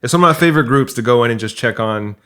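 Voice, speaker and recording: A man's voice: medium pitch, animated, very fast, fairly bright tone. A man hosting a podcast, chatting casually with a guest and addressing listeners as a playwright and host. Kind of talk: casual interview chat and show outro.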